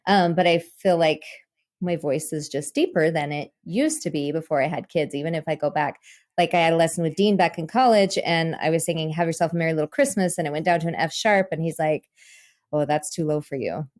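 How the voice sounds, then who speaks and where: Female podcast host with a lowish voice, chatty and laughing, thinks out loud. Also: brisk. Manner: laughs easily, thinks aloud with many fillers. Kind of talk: casual podcast conversation.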